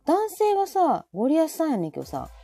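Bright, lively Kansai woman. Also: high-pitched, a little fast, creaky. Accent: Kansai Japanese. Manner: chatty, reacts with frequent backchannels and questions. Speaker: live audio show host. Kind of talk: casual chat on a live stream. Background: soft background music.

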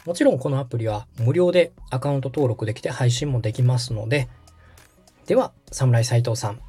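A man's voice: medium pitch, a little fast, dark tone, husky, faint music behind. A man hosting a step-by-step tutorial, explaining things politely and guiding the listener along. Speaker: podcast host and tutorial instructor, radio director.

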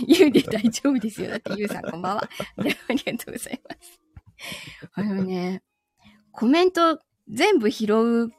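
A woman's voice: fairly high pitch, animated, moderate pace, husky, soft background music. A woman hosting a casual livestream, chatting and teasing in a giggly way while she greets commenters. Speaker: livestream host and voice actor. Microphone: microphone through an audio mixer.

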